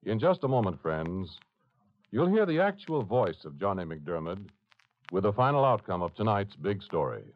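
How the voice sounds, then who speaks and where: A man's voice: fairly low pitch, sing-song, normal speed. A man announcing on a mid-century radio show in a formal scripted style. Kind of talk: 1940s-50s radio announcing. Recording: dull, lo-fi old radio recording.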